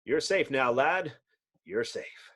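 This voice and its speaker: A man's voice: mid-range voice, lively intonation, slow pace, fairly bright tone. A man voicing a grave, commanding leader of superheroes in a solemn, portentous manner. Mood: reassuring.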